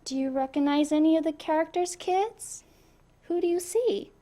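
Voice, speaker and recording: A woman reading a children's storybook aloud in an expressive way, addressing young listeners. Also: very high voice, moderate pace. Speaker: storybook reader. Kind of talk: animated children's read-aloud.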